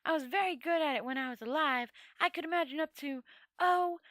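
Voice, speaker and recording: A woman acts out a long-dead old wizard from a fantasy storybook. The voice is high and close, with a dry sound. He rambles proudly, as if death were an ordinary matter. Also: fairly quick, fairly bright tone, no echo. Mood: proud, disdainful. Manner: rambling, matter-of-fact, conversational.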